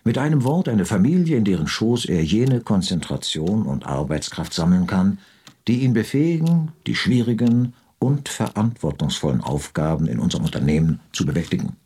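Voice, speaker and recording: A man's voice: lowish voice, big pitch swings, normal speed, dark tone, husky. A man playing a pompous, moralizing company director in a radio drama, speaking in formal, lofty phrases.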